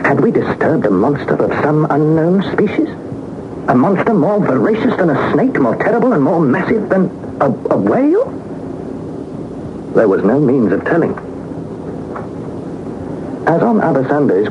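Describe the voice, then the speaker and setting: A noisy, dull 1960s radio broadcast carries a young British gentleman adventurer. He narrates his journal in formal, deliberate phrases. The delivery is theatrical and very animated, full of anxious awe. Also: mid-range voice. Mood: alarmed awe.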